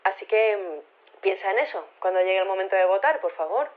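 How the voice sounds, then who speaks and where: An actress playing an earnest, slightly flustered university activist with a Spain accent, leaving an informal voicemail in an audio drama. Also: female voice, mid-range voice, expressive, fairly quick. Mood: earnest, awkward. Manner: hesitant, informal, self-conscious, pleading. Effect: phone filter. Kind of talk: casual voicemail in audio fiction. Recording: narrow tinny phone line.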